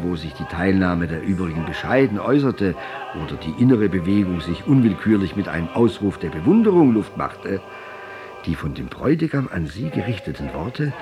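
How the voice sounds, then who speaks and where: Deep-voiced man narrating over loud music. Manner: formal, elevated storytelling. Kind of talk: literary audio drama narration.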